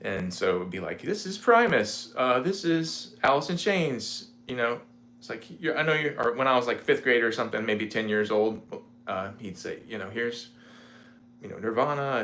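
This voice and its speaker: A man in his forties chatting casually on a home livestream, rambling with lots of fillers, with a mellow voice. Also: mid-range voice. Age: 40s. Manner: rambling, relaxed, many fillers. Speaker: livestreaming guitarist. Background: guitar playing.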